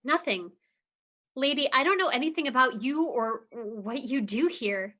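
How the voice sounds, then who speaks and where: Young female patient, defiant and earnest, animated delivery, as a woman plays her; muffled lo-fi sound. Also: high voice, unhurried. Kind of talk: livestream script reading. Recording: dull lo-fi audio.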